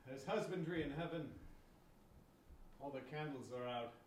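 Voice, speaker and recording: A weary, uneasy nobleman in a classical stage play, acted by a man, delivering verse slowly and with measured care. The room echoes and there is clear background noise over the distant voice. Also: mid-range voice, lightly acted.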